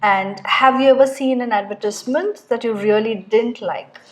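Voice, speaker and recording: A woman conducts a formal speaking test, reading her questions in a measured, scripted way. Her voice sits a little high. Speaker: examiner.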